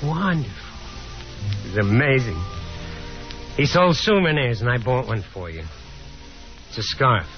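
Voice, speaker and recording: A man's voice: mid-range voice, sing-song, a little slow, pauses often, faint music behind. An actor playing a restless, sardonic young man, a grown son, in a 1950s radio drama. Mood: reflective, soft. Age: young adult. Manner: sarcastic, dramatic. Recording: dull 1950s radio recording.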